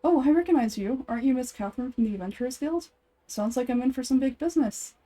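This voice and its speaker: A woman's voice: high voice, animated, fast talker, dark tone. A woman voicing a cheerful, earnest townsperson in fan-made fantasy game dialogue. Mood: friendly, chatty. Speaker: amateur voice actor.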